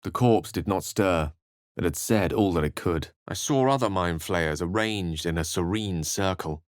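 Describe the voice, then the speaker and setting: A man's voice: low voice, normal speed, no room sound, studio quality, close to an everyday voice. A man playing a refined, erudite wizard in a fantasy video game, speaking in an articulate, theatrical storyteller's manner. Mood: narrating, hushed. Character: refined, erudite male human wizard, eloquent and wry.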